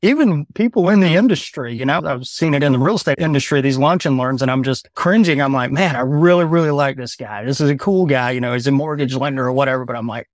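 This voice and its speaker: A man's voice: medium pitch, quick pace, hardly any pauses, no echo, clean studio sound. A man in his thirties, a guest on a podcast, talking bluntly and loosely in casual conversation. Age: 30s. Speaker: podcast guest, video business owner.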